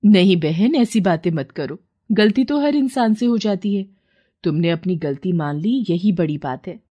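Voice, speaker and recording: A woman's voice: on the low side, lively intonation, normal speed, highs cut off. A woman voicing a humble, kind, dutiful young daughter-in-law in a moral story. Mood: gentle, forgiving.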